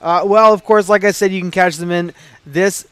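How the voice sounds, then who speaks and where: A man's voice: sits high, steady tone, moderate pace, a bit bright, smooth, no room sound. A young man hosting a college coaches show, upbeat and laughing often. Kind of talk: casual interview.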